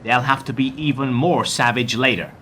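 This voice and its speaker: A man's voice: medium pitch, fairly even intonation, normal speed, pushed and bright, slight background noise, close to an everyday voice. A man playing a ruthless corporate bank executive in a radio play, hard, emphatic and condescending. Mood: hard, menacing. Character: blunt, ruthless male corporate bank executive delivering bad news.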